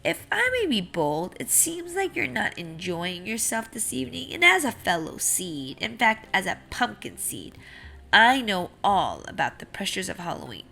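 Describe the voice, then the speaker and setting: A woman's voice: medium pitch, sing-song, normal speed, creaky, sharp tone, full character voice. A woman voicing a kindly, polite pumpkin seed who gives earnest, reassuring advice in a children's storybook. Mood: earnest, encouraging. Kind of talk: children's picture book read-aloud.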